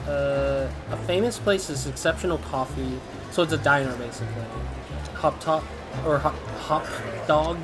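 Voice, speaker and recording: A man doing casual gaming commentary, a little stuffy from a cold, chatty and rambling. His voice sits slightly high, with game music playing clearly behind him. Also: normal speed. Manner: chatty, rambling, laughs often. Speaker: gaming YouTuber. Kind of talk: let's play commentary.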